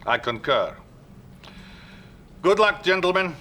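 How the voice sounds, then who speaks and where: An actor playing a courteous Soviet premier in a video game, speaking in a formal, ceremonious way. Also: male voice, sits high, sing-song, slow pace, bright, forceful tone, a little hiss or hum, exaggerated voice. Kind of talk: video game cutscene acting.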